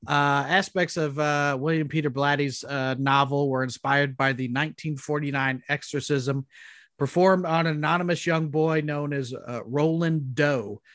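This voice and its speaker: A man co-hosting a casual podcast, chatting and reading out trivia in a halting way. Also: fairly high pitch, steady tone, a bit bright, no room sound, clean studio sound. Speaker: podcast co-host.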